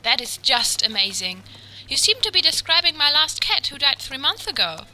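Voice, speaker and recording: A woman's voice: sits high, very animated, moderate pace, bright, forceful tone, heavily acted, low-quality recording. A woman playing a wide-eyed, earnest and easily impressed astrology customer in a comedy podcast sketch. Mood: amazed.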